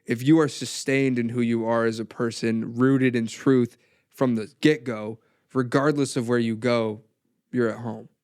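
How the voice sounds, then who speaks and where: A man's voice: medium pitch, steady tone, unhurried, darkish tone, clear, resonant tone. A young man co-hosting a podcast, talking in a laid-back, friendly way. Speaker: podcast co-host. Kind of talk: casual podcast chat.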